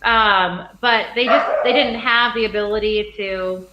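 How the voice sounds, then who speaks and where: A woman's voice: mid-range voice, steady tone, measured pace, noisy background. A woman in her forties, a guest distiller, chatting casually and rambling with easy laughs over a video call. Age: 40s. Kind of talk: casual livestream chat. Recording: echoey, dull, faint clicks.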